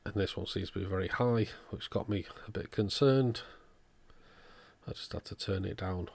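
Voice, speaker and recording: A man with a low voice and a strong creak talks through a hands-on repair. He goes methodically, one step after another, in the manner of an electronics technician showing his work. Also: normal speed, a little mellow, no room sound. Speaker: electronics repairer, channel host. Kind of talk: hands-on repair demonstration narration.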